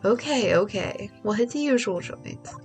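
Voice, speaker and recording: A woman with a stuffy voice voicing a calm, measured older sister who is a lawyer, gently teasing in a video game read-along. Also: medium pitch, faint music behind.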